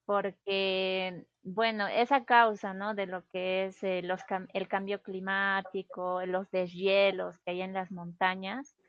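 A woman's voice: medium pitch, flat delivery, clean tone, no echo, highs cut off. A woman from Peru with a Peruvian Spanish accent, chatting casually and explaining things patiently. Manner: slow, patient, explains with pauses. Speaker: conversation partner. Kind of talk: casual conversation.

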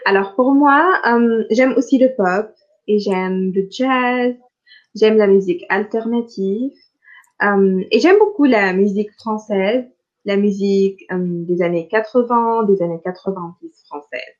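Slow patient woman, lo-fi. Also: mid-range voice. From Tunisia. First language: Arabic. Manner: patient, clear, repeats questions. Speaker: French conversation partner. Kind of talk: slow language-practice conversation.

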